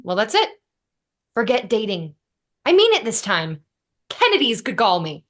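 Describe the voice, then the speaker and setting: A woman's voice: fairly high pitch, wildly swooping pitch, normal speed. A woman reading the part of an exasperated, blunt young roommate in a sitcom script, with emphatic, sardonic delivery. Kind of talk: sitcom script table read.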